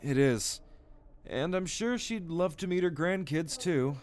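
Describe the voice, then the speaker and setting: A man's voice: a little high, lively intonation, a little slow. A man playing an easygoing, polite young brother in an audio roleplay, casual and courteous. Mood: relieved.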